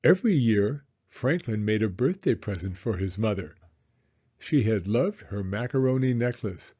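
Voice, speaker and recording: A man narrating a children's storybook aloud in an expressive, measured storytelling manner. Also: fairly low pitch, husky, muffled recording.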